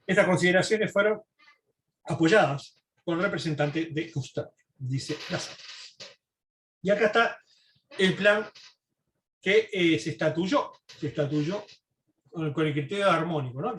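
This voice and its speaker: A man's voice: on the high side, normal speed, pauses often, a bit bright. A man lecturing informally from notes, explaining as he goes and adding asides and rhetorical questions.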